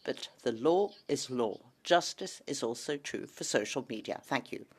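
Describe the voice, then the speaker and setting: An interpreter rendering a debate reply in measured, plain statements. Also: mid-range voice, animated, slightly rough.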